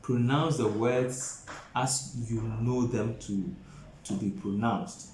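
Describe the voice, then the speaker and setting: A man in his thirties lecturing as an exam preparation tutor, speaking deliberately and repeating phrases for emphasis. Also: mid-range voice, steady tone, darkish tone. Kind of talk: instructional lecture to camera. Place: small plain indoor office. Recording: roomy echo, faint background noise.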